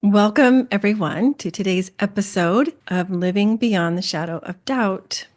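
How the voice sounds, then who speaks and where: A woman's voice: mid-range voice, a little slow, darkish tone, soft background music. A woman hosting a podcast, reading a scripted intro and then interviewing a guest in an earnest, affirming way. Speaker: podcast host.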